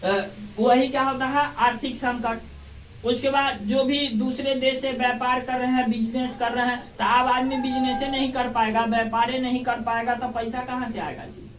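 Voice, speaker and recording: A man's voice: very high voice, level tone, moderate pace. A male teacher with a Bihari accent lectures in plain, everyday terms. He repeats key phrases and keeps putting prompting questions to his pupils. The sound is echoey, picked up at a distance from the microphone in a classroom. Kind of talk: classroom lecture explaining in simple colloquial terms.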